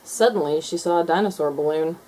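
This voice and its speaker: A woman reading a children's storybook aloud in expressive narration. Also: low-pitched, fairly quick, rough recording.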